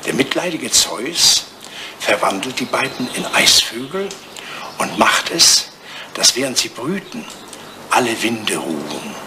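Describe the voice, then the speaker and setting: An actor playing an aging English lord, whimsical, nostalgic and imperious, in a theatrical German radio play. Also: male voice, medium pitch, big pitch swings, a little slow. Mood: wistful, storytelling. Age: older. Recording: roomy sound with clear background noise.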